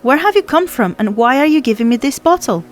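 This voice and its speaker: A woman's voice: high-pitched, lively intonation, fairly quick, pitched above the performer's own voice. A woman voicing an eager, brave young boy in a storybook reading. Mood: curious. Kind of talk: storybook character voice.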